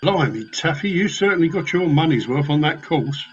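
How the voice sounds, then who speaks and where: A man's voice: fairly high pitch, expressive, normal speed, muffled recording, soft background music, lightly acted. A man playing a cheeky, sarcastic crewman who mocks others in a comedy radio play. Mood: mocking. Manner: mocking.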